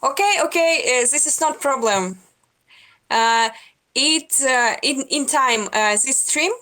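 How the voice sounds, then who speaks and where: A woman's voice: sits high, expressive, slow pace, sharp tone. An eighteen-year-old woman from Russia, chatting on a video call in simple, broken English and giggling often. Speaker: stranger on a video chat. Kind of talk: casual video chat.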